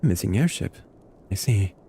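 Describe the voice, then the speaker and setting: A man voicing a grave, formal fantasy lord from a video game, speaking in a measured, courtly way. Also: lowish voice, lively intonation, gravelly, mellow, a little hiss or hum, pitched below the performer's own voice. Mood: formal, helpful. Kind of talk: reading game dialogue aloud in character.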